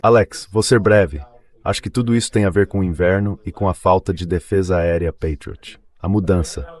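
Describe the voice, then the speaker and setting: A man's voice: low voice, moderate pace, darkish tone. A synthetic male voice, dubbing a political commentator in discussion.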